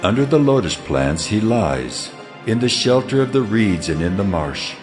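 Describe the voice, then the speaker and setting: A man plays a majestic, stern God in a scripture drama. The voice is very deep and the delivery solemn and declamatory, built on challenging rhetoric. Music plays clearly behind the words. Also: very animated, normal speed. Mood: solemn, awed.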